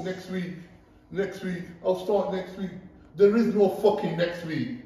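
A man lectures a gym crowd on motivation, blunt and swearing freely. His voice sits a little high for a man. It comes from well off the mic and booms around a large echoey gym. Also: a little mellow, recorded from a distance. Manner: blunt, profane, preachy. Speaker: gym owner giving a seminar. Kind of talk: blunt motivational talk to a group.

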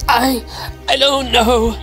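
Male actor as a frail, crazed hunter in a horror radio play, fraught and desperate, drawn-out broken phrases over clear music. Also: very high-pitched, flat delivery. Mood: terrified, failing. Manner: halting, fraught, fragmented delivery. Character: sickly, desperate invisible male hunter losing his mind. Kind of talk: audio drama acting.

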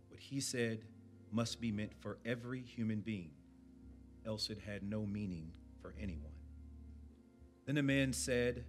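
A man in his thirties reading poetry aloud in a measured, declamatory way at a podium microphone. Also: fairly low pitch. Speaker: community reader at a podium. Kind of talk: poetry recitation. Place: indoor event room at a podium. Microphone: podium microphone on a stand. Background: faint background music.

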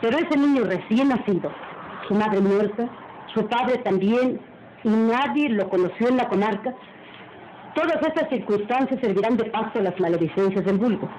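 A woman's voice: on the high side, animated, moderate pace, a little mellow, dull audio, close to an everyday voice. A woman playing a haughty, cold noblewoman in an old radio melodrama, imperious and formal. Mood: cold, insistent. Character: haughty, cold noblewoman, wife and mistress of mansion. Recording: old radio recording, faint noise.

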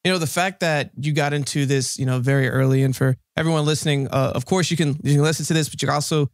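Fast-talking young man hosting a podcast. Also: on the high side, fairly even intonation, dry recording, clean studio sound. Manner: upbeat, many fillers. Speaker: podcast host. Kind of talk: casual interview hosting. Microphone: studio mic on a boom arm.

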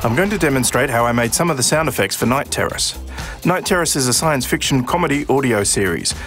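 A man's voice: medium pitch, lively intonation, fast, fairly bright tone, faint music behind. Middle-aged man presenting. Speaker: sound designer and presenter. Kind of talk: scripted explainer narration.

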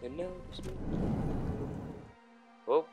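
A man giving casual, rambling, sweary commentary as he plays a video game. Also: drawn-out words. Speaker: gaming video host. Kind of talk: casual let's play commentary. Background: faint game music.

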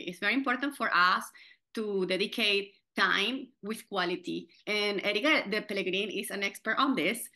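A woman's voice: mid-range voice, bright, forceful tone. A woman in her thirties from Venezuela with a Venezuelan Spanish accent, hosting a podcast over a video call, talking hesitantly with many fillers and restarts. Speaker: podcast host. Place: home room, indoors. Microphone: video call, webcam.

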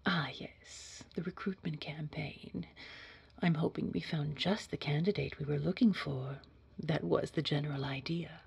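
A woman acting as a scary, condescending boss in an audio roleplay, speaking formally and precisely in a breathy voice. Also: low-pitched, fairly even intonation, a little slow, a little mellow, no echo, full character voice. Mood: smoothly polite. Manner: cold, precise, scolding, formal wording. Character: scary, condescending female boss at a secretive organization. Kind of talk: scripted audio roleplay drama.

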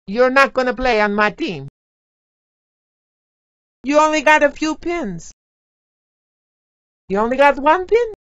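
A woman's voice: sits high, lively intonation, takes it slowly, pauses often, fairly bright tone, dry recording, full character voice. A woman playing a doting, teasing Italian-American mama, a theatrical and motherly video game character. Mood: scolding, teasing. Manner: theatrical, motherly, uses endearments. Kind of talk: video game character barks, exaggerated and exclamatory. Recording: slightly dull game audio clips.

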